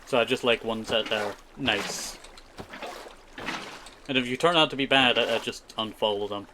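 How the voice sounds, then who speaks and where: Man streaming casually over clear background noise. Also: mid-range voice, normal speed. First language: Scottish Gaelic. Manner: chatty, rambling, repeats short phrases. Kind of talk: casual live gaming commentary with chat.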